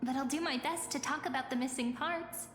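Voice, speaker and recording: A woman voicing a gentle, eager young storyteller and guide in a video game, explaining things in a friendly, informal way. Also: sits high, a little fast. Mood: eager, obliging. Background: faint game music.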